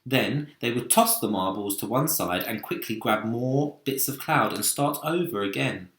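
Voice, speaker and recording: Male storybook narrator, dramatic, lively pitch swings, unhurried, roomy recording. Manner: deliberate, dramatic. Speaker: teacher reading aloud. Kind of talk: expressive children's storybook narration. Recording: echoey room.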